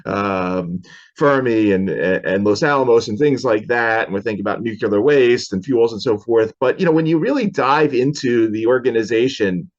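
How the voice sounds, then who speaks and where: A man's voice: mid-range voice, normal speed, lo-fi sound. A man hosting an interview, asking rambling questions full of "you know". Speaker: interview host.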